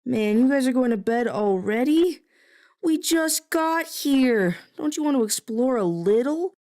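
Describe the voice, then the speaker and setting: A woman's voice: high voice, lively intonation, measured pace, warm tone. A woman playing a restless, adventurous young trainee in an audio drama, with casual dialogue. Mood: eager.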